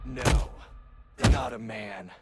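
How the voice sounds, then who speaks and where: An actor playing a grim, vengeful superhero in a comic-book audio drama, speaking with brooding, deliberate intensity. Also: male voice, medium pitch, steady tone. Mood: cold menace. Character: grim, vengeful male superhero, resolute and menacing. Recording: very loud music over the voice. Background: loud music.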